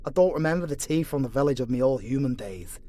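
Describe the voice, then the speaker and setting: A man's voice: medium pitch, expressive, quick pace, warm tone, natural voice. A man playing a dutiful, prejudiced angel dungeon guard with a formal, sanctimonious manner, in a fantasy audio roleplay. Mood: polite, pleased.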